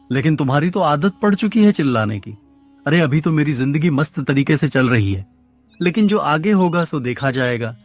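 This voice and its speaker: A man performs an idle, insolent young villager in a cartoon tale. The voice sits fairly high for a man and complains irritably. Faint music runs behind it. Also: natural voice. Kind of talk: Hindi cartoon moral story dialogue.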